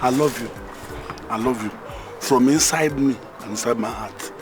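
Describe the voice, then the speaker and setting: A man's voice: mid-range voice, music in the background, natural voice. An actor with a Nigerian Pidgin accent playing a lovesick man pleading for love. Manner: pleading, slow and earnest. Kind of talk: film acting.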